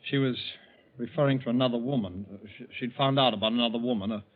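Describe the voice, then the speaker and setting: A medium-pitched man acting as an evasive husband in an old radio drama. He stammers and stays guardedly polite, sounding uneasy. The sound is very narrow and tinny, like a 1940s broadcast. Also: quick pace, lightly acted. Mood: subdued, nervous. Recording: tinny, band-limited 1940s radio recording.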